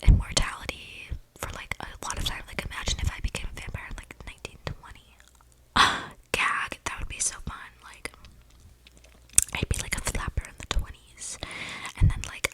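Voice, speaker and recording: Casting: eccentric young vampire gal a woman acting, all breathy whisper, gossipy and flippant ASMR roleplay, rambling close on the mic. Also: lightly acted, poor audio. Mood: wistful, exasperated. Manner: rambling, gossipy, slangy, dramatic. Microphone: close mic picking up breathing.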